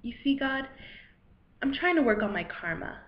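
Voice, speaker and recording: A woman acting a dramatic monologue as a weary, self-deprecating failed poet, anxious and rambling, in a halting, wry, conversational way. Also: sits high, sing-song. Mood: rambling, uncertain. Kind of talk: dramatic monologue, cold read. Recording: echoey room, dull lo-fi microphone.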